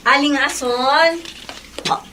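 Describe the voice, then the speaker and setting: Neighborly woman with a secret, radio drama; high, sharp-toned, slow and hesitant. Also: a little hiss or hum, full character voice. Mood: cheerful, neighborly. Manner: hesitant, stammering. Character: neighborly woman patient hiding a painful secret, flustered. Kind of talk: Tagalog radio drama acting.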